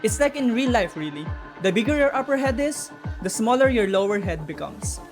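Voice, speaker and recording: High-voiced woman explaining lore over music. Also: expressive, brisk. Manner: chatty, speculative, addresses viewers directly. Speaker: video narrator explaining game lore. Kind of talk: informal explainer commentary. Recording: music under the voice. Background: background music.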